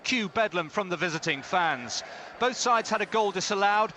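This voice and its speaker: A man delivers a prepared football match report. His voice is high and sharply bright, with faint stadium noise behind it. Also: lively intonation, moderate pace.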